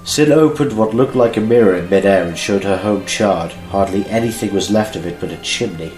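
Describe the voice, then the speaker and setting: A low male voice narrates a scripted fan audio drama. The room is echoey, and soft music sits behind him. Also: fairly quick.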